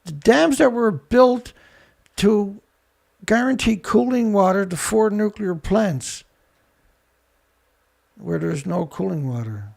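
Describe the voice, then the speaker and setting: A man hosting a ranting commentary show, with a rough voice, blunt, angry and swearing. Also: high-pitched, animated, slow, long pauses, darkish tone. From Canada. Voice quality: rough, gravelly voice. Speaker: show host.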